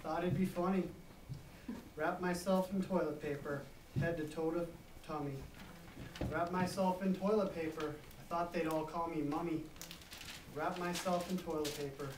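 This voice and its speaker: A young man with a fairly high voice recites children's verse. He sounds far away in an echoey room with clear background noise. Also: fairly even intonation, normal speed, pauses often. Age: young adult. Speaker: student readers theater performer. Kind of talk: poetry recitation.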